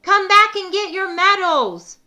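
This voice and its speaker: Lady doing a brisk race announcer guy for a kids story, calling out loud n high. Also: expressive, full character voice. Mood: rousing. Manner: calling out loudly. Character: brisk male field-day announcer on a farm. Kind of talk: children's storybook read-aloud.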